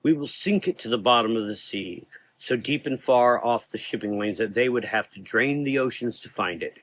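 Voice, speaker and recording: A man playing a weary, learned and brooding occult hunter, speaking in a measured, formal, ominous way in a gothic horror audio drama. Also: mid-range voice, fairly bright tone, natural voice, low-quality recording.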